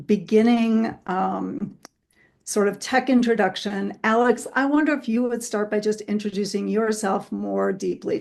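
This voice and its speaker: Gravelly-voiced woman hosting a webinar. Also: medium pitch, darkish tone. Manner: friendly, chatty, with frequent "um" pauses. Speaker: host and therapist. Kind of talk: casual webinar introduction.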